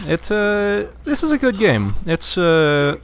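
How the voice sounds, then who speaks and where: A man's voice: sits high, big pitch swings, takes it slowly, noisy background. Easygoing man chatting about games. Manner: easygoing, hesitant, storytelling with fillers. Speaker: co-commentator. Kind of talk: casual gameplay chat.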